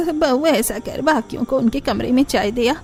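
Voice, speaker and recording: A woman voicing a bossy, boastful middle-aged mother-in-law in a cartoon, brisk and commanding. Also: sits high, animated, a little mellow.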